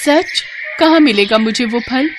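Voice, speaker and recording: A woman's voice: fairly high pitch, expressive, unhurried, noisy background, voice pushed up. A woman voicing a meek, wronged young daughter-in-law in a children's story, tearful and pleading. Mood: hopeful.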